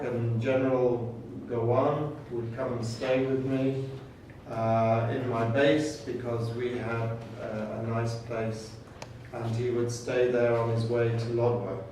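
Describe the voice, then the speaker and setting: An older man from Kenya whose first language is Swahili, speaking in a flat, even tone. He talks in short, measured phrases with pauses, as if welcoming a group. The recording is far from the mic in a very echoey room. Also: medium pitch, slight background noise. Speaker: program director welcoming students. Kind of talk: informal welcome talk.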